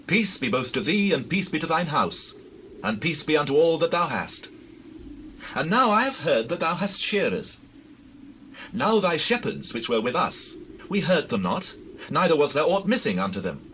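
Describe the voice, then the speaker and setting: An actor playing an earnest, righteous warrior leader in a dramatized scripture reading, with declamatory delivery. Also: male voice, fairly high pitch, fairly bright tone, slight background noise.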